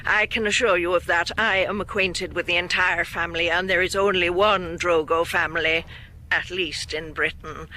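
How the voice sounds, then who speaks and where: A man's voice: high-pitched, fairly even intonation, normal speed, sharp tone, low-quality recording. An actor, a man, playing a prim company receptionist in a radio drama, formal, precise and haughtily polite. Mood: firm, haughty. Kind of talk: radio horror drama acting.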